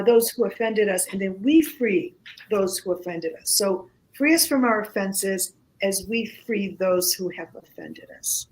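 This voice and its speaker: A woman whose first language is Greek, speaking as a guest in a casual radio conversation, slow and halting with many pauses and restarts. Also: on the high side, poor audio.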